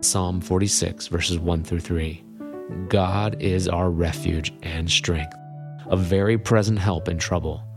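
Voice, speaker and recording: A man reading scripture aloud in a slow, measured way. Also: deep voice, fairly even intonation. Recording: distant, set under music.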